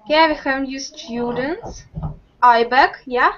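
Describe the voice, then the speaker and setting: A young woman with a high voice teaches a group English class over a video call. She talks slowly and patiently, asking questions one by one. The webcam sound is muffled. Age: young adult. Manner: slow, patient, encouraging questions. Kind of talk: online group language lesson. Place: home room with bookshelves.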